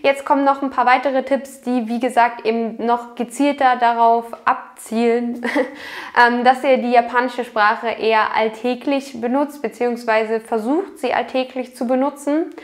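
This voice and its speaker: A young woman speaks to camera in a small, bright room at home, her voice sitting a little high. She explains study tips clearly and patiently, dropping the odd filler as she goes. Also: fairly even intonation, normal speed. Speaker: language learning YouTuber. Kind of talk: talking-to-camera tips video.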